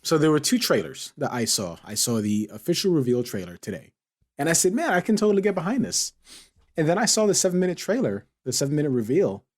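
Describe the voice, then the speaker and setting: A man's voice: fairly high pitch, sing-song, fast. A man hosting a casual podcast chat in a playful, teasing manner. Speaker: podcast host.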